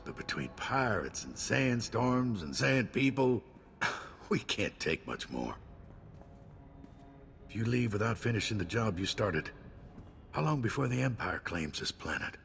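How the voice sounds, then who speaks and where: Gravelly older man, earnest idealist. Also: medium pitch, fairly bright tone, a little hiss or hum. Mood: weary, conversational. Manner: earnest, persuasive. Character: gruff, idealistic retired man turned community volunteer. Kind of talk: video game dialogue.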